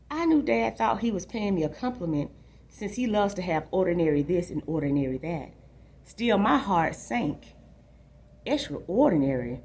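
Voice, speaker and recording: A woman's voice: mid-range voice, vocal fry, darkish tone, breathy edge, heavily acted. A woman reading a storybook aloud as a restless, imaginative young boy longing for adventure, in a dramatic, confiding way. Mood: frustrated, determined. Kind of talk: expressive storybook read-aloud.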